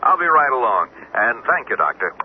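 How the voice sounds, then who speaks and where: An actor playing an anxious, polite friend on the telephone in a radio drama, concerned and a little hesitant. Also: male voice, mid-range voice, big pitch swings, fairly quick. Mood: anxious, grateful. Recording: thin telephone line in old noisy radio.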